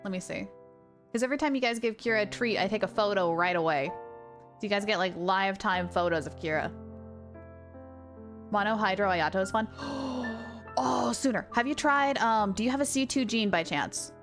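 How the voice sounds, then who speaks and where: A woman livestreamer chatting casually over a game, with an excitable, gushing manner. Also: medium pitch, normal speed, faint music behind. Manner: excitable, gushing, baby-talks to her dog. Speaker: live game streamer. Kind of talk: casual livestream chat while gaming. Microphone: streamer's mic, wearing headphones.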